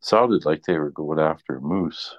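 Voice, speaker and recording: Very deep-voiced middle-aged man chatting. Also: normal speed, no room sound, dull audio. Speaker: radio show presenter. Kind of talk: casual chat.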